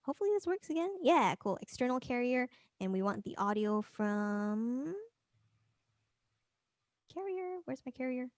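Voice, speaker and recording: A woman presenting a music production workshop as an informal software demonstration, chatting and thinking aloud as she works. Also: a little high, big pitch swings, normal speed, no echo, studio quality.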